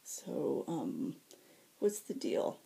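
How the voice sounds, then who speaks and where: A woman voicing a wounded, groggy young male hero in a story, with a raspy, broken near-whisper and halting, slangy speech. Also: low-pitched, big pitch swings, mellow, full character voice. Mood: weak, groggy. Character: wounded young hero man, weak, groggy, self-doubting.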